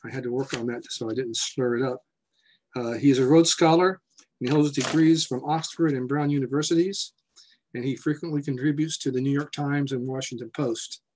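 A man's voice: medium pitch, a little mellow, husky, low-quality recording. A man in his sixties opens a virtual symposium from a room at home, speaking through a video call. He is loose and jokey, with a few fillers as he reads out sponsors and introduces a guest. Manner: loose, joking, with filler words. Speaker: society president hosting a symposium. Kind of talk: casual conference welcome and introduction.

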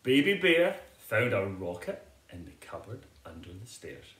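A middle-aged man reading a picture book aloud to children in an expressive, deliberate storytelling manner. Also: lowish voice, roomy sound. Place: living room at home, indoors.

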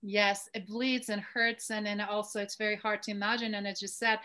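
A woman's voice: medium pitch, flat delivery, brisk, bright, forceful tone. A middle-aged woman with a Croatian accent hosts a conversational online interview in an effusive, enthusiastic way. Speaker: show host. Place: indoor room, on a video call. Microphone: video call.

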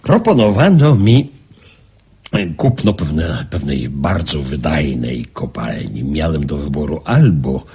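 An actor playing an eager, excitable wealthy gentleman in a radio drama, speaking effusively and haltingly in a husky voice. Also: rumbling low, noisy background, exaggerated voice. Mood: excited, elated.

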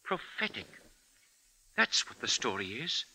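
Earnest, piercingly bright-voiced editor. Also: male voice, medium pitch, sing-song, a little slow, husky, exaggerated voice, poor audio. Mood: grave, earnest. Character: earnest, deferential male editor, grave and uneasy. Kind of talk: 1950s radio drama acting.

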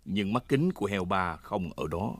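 A man's voice: low voice, lively intonation, moderate pace, very noisy. A man narrating a children's cartoon from a script. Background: music.

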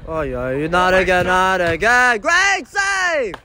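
A man filming a soccer match from the sideline in an indoor dome, commenting excitedly and shouting at the referee and his team. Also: high voice, slow pace, slight background noise. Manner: animated, shouting at the referee and players. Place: indoor sports dome, large. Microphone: phone camera held by the speaker.

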